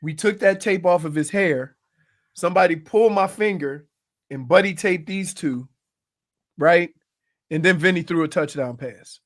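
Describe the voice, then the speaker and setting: A man co-hosting sports radio, a former football player telling animated stories. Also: high-pitched, moderate pace. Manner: storytelling, animated punchlines. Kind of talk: casual sports talk. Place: radio studio. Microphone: studio mic.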